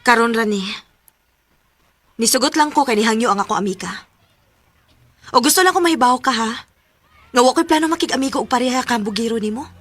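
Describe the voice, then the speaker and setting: A woman's voice: a little high, expressive, fast talker, pauses often, fairly bright tone. An actress playing a guarded, frank but forgiving young woman in a radio drama, with a Cebuano Filipino accent. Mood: indignant, guarded. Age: young adult.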